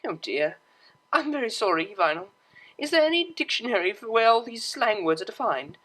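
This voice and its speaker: A woman's voice: on the high side, lively intonation, moderate pace, a bit bright, voice pushed up, rough recording. A woman voicing a refined, polite young mare cellist in a pony story, awkward and earnest, with prim formal wording. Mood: apologetic, embarrassed.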